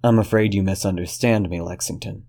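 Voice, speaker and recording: A man voicing a cold, controlled detective who speaks in a measured, menacing way. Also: low voice, steady tone, vocal fry, warm tone.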